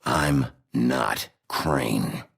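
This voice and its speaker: Gravelly, very low, gruff sheriff. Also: male voice, very slow, fairly bright tone, exaggerated voice. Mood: cold, defiant. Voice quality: gravelly, husky. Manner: blunt, confrontational. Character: gruff, hard-boiled male sheriff, brooding and blunt. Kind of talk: dramatic video game acting.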